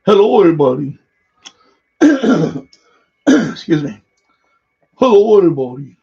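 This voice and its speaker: A man's voice: a little high, big pitch swings, a little slow, creaky, dark tone, breathy edge. A man hosting a livestream, chatting casually and muttering to himself as he goes. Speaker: livestream host. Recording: dull, muffled livestream audio.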